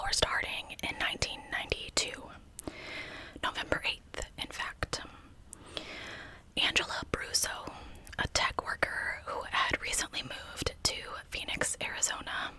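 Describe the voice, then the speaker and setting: A woman hosting an ASMR channel, narrating in a breathy, intimate whisper with casual asides. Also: bright, forceful tone, poor audio. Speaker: host of a true crime ASMR channel.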